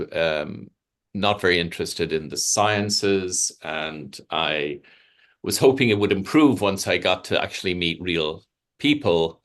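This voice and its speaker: An older Irish man with a very deep-pitched voice speaks as an interview guest on a video call. He is reflective and conversational, pausing often and searching for the right word. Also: fairly bright tone. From Ireland. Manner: thoughtful, halting, searching for words. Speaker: palliative care physician, interview guest. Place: home study.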